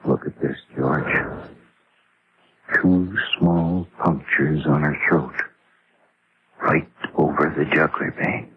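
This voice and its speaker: An actor playing a grave, knowing doctor at a jungle outpost in an old radio horror drama, explaining in a measured, serious way. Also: male voice, a bass voice, steady tone, husky, heavily acted. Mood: somber, uneasy. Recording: noisy, muffled old radio drama recording.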